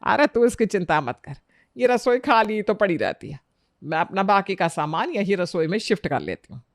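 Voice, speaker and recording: A woman's voice: mid-range voice, expressive, moderate pace, dry recording, natural voice. A woman acts out a middle-aged Indian mother-in-law in a family storybook tale. She is fussy and worried, chiding and emphatic in every phrase. The recording is close and dry. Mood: bossy reassurance.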